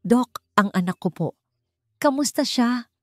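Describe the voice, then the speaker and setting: A woman's voice: a little high, lively intonation, a little slow, darkish tone, voice pushed up. A woman voicing a desperate, tearful young mother pleading for her child in an audio drama.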